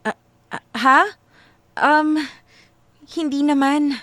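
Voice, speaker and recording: A woman's voice: high voice, fairly even intonation, takes it slowly, fairly bright tone, no room sound, studio quality. A woman playing a nervous, bashful young woman in a radio drama, flustered and hesitant as she hides her feelings. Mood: bashful, teasing. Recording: music bed under the voice.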